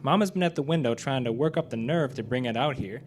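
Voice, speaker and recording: A man's voice: mid-range voice, fast talker, a little mellow, pitched above the performer's own voice, natural voice. A man voicing an earnest, pleading young country girl with folksy rural phrasing, in a live story reading. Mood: earnest, uneasy. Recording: noisy live club recording.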